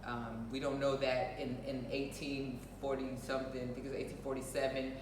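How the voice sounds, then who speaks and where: A woman's voice: rumbling low, level tone, a little fast. An American woman co-hosting a show, chatting casually in an outspoken, emphatic way and repeating phrases to press her point. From United States. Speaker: show co-host. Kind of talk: casual opinionated chat. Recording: roomy echo, distant mic, faint noise.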